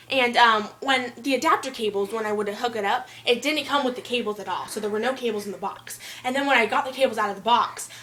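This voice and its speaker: A young woman rambles through a prank call, stringing made-up technical words into nonsense. Her voice is bright and a little high, heard on a speakerphone in a small bedroom with a faint hiss behind her. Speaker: prank caller. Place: bedroom, indoors. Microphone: phone on speaker held in hand.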